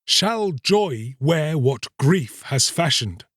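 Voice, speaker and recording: A man's voice: fairly high pitch, very animated, takes it slowly, fairly bright tone, no room sound, studio quality, voice pushed up, full character voice. A man playing an earnest, solemn young king in a storybook tale, speaking resolutely in formal, archaic phrases. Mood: solemn, firm.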